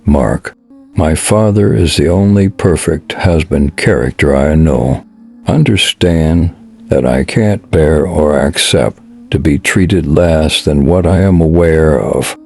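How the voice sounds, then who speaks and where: Man reading as a heartbroken young woman, quietly defiant, very low voice, husky, storybook audio drama. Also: takes it slowly, heavily acted. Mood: weary.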